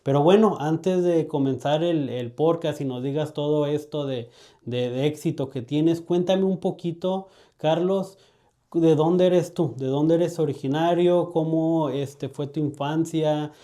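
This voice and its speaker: A man's voice: on the high side, measured pace. A man hosting a podcast interview, asking friendly, complimentary questions with some repeated words. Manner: friendly, flattering questions with repetitions. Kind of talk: casual interview talk.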